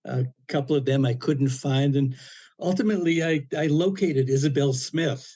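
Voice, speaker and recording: A man around seventy, a podcast guest, telling a personal story in a relaxed, anecdotal way with frequent "you know" fillers and dry humor. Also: fairly high pitch, moderate pace. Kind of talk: casual storytelling interview.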